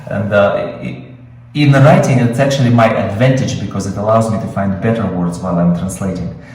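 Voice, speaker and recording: A man's voice: fairly low pitch, fairly quick, a little mellow, heavy reverb, far from the mic, rough recording. A man with a Russian accent, Russian his first language, teaching screenwriting in an improvised webinar, explaining with many fillers and restarts. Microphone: video call.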